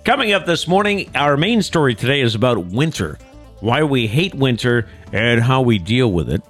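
Chatty nasal middle-aged host. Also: male voice, mid-range voice, very animated, moderate pace, a bit bright, faint music behind. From South Coast Massachusetts. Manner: chatty, reads segment rundowns. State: congested from a cold. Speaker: show host. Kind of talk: casual morning show chat. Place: small studio.

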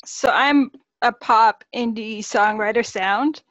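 A woman in her thirties from Massachusetts, a singer-songwriter explaining her work in a measured way during an interview. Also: on the high side, fairly bright tone, no room sound, muffled recording. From Massachusetts, USA. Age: 30s. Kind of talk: interview answers.

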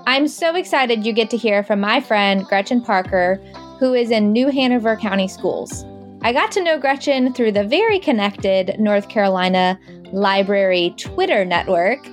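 A woman hosting an interview, asking questions in a friendly, encouraging way. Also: medium pitch. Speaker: podcast host. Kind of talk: casual interview.

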